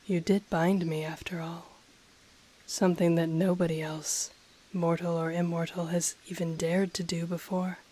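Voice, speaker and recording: A woman voicing an ancient female dragon in an intimate audio roleplay, breathy and often whispering, haughty yet tender, with teasing, seductive, formally worded speech. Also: on the low side, mellow. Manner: slow, teasing, formal archaic phrasing.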